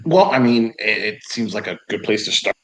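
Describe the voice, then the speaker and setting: A man's voice: fairly low pitch, lively intonation, a little fast, fairly bright tone, poor audio. Guy playing an rpg, laid back and rambly, voice bounces around a lot. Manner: rambling, easygoing. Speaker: player. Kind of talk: tabletop role-play, in and out of character.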